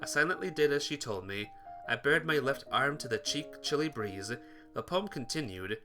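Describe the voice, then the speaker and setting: A man's voice: mid-range voice, lively intonation, moderate pace, over music. A man reading a visual novel aloud for a playthrough, narrating and voicing the hero's lines. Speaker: let's-play narrator.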